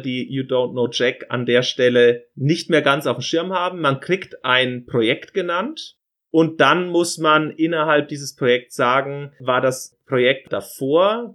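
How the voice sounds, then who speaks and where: A man's voice: medium pitch, a bit bright. A man interviewing someone in a casual, chatty and discursive way, improvising as he goes.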